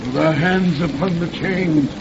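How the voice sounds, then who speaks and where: Slow, gravelly, high-pitched pirate brute. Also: male voice. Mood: earnest. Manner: slow, rough, pleading or blustering. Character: simple, loutish, emotional pirate brute, childishly devoted. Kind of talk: old-time radio horror drama acting. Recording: muffled, hissy old radio recording.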